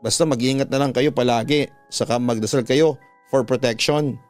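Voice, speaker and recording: A man's voice: mid-range voice, fairly even intonation, moderate pace, darkish tone, faint music behind, natural voice. A man with a Filipino accent voicing an uneasy schoolgirl who earnestly warns a friend, in a radio drama. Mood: worried warning. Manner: earnest, cautioning. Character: uneasy schoolgirl warning her friend of ghosts.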